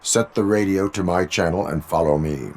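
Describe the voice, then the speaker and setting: An actor playing a stern, by-the-book cyborg police officer in a video game, speaking in a formal, measured, deadpan way. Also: male voice, sits low, a little hiss or hum. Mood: stern, instructive. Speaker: police field training officer.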